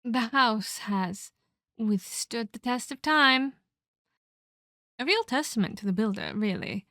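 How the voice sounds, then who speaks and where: A woman voicing the centuries-old ghost of a murdered girl in a horror ASMR roleplay, eerie, menacing and wistful, speaking deliberately with breathy, whispery delivery. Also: fairly high pitch, animated, bright, forceful tone, no room sound, clean studio sound, exaggerated voice. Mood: mournful, eerie. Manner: slow, deliberate, theatrical storytelling.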